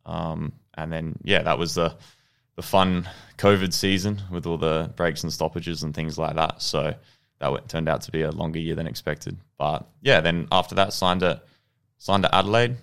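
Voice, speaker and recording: A young Australian man with a very deep voice and a gravelly, creaky edge. He tells his story in a relaxed, rambling way, full of ums and restarts, and laughs easily. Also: moderate pace. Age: 20s. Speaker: podcast guest, goalkeeper. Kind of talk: casual interview storytelling.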